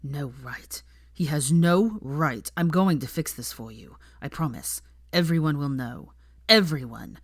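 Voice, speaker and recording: A fierce young wizard man performed by a woman narrator in an audiobook. He is intense and protective, snarling clipped commands in a low voice, recorded dry. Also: unhurried, dry recording. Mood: furious, protective. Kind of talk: acted audiobook dialogue.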